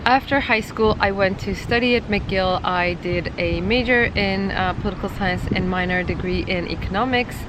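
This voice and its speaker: A chatty Turkish-born woman in her thirties talks straight to the camera about her own life at an easy, even pace. Her voice sits in a middle register. Clear traffic noise from the city fills the background of this outdoor recording. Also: medium pitch, normal speed, hardly any pauses. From Turkey. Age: 30s. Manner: chatty and conversational, frequent "uh" and "um". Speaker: channel host. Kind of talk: casual vlog storytelling to camera. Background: traffic and city ambience.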